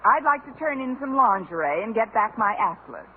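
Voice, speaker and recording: An actress playing a wry, quick-witted schoolteacher with dry wisecracks in a 1950s radio sitcom. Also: female voice, on the high side, very animated. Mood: brisk, polite. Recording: noisy 1950 radio broadcast, narrow band, audience.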